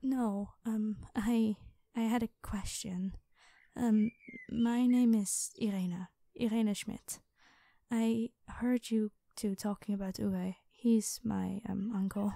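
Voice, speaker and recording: A woman playing a distressed but stubborn young woman in an audio roleplay, speaking with a pleading, halting manner. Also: medium pitch, steady tone, gravelly, mellow, dry recording. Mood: nervous, hesitant. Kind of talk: cinematic audio roleplay acting.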